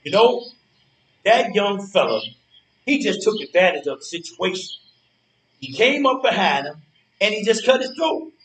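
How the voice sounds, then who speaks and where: An actor plays a boastful, feisty old man in a stage play. His high voice moves up and down a lot as he talks in a folksy, defiant way. He is recorded from a distance. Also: big pitch swings, normal speed, pauses often, a bit bright. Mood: indignant. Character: feisty, boastful old man who refuses to feel helpless. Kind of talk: live stage play dialogue. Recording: roomy stage recording from far off.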